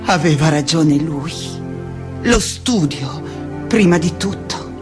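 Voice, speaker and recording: An actress playing a reflective, passionate woman scholar in a lyrical theatrical monologue, with deliberate dramatic pauses. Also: low voice, expressive. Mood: wistful. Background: music.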